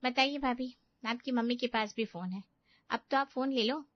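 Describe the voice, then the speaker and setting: A woman voicing a blunt, mocking young sister-in-law in an animated family story. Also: on the high side, moderate pace, dull audio, pitched above the performer's own voice, natural voice. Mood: brisk.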